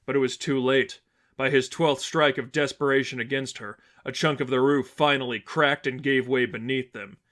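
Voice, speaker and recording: A man's voice: mid-range voice, steady tone, moderate pace, a bit bright. A man reading fan fiction aloud as dramatic scripted narration.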